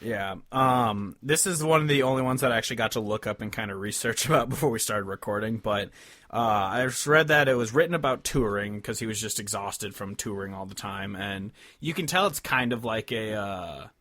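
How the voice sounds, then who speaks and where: A man's voice: on the low side, brisk, fairly bright tone, dry recording. A man co-hosting a podcast, chatting casually about music and swearing freely. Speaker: podcast co-host.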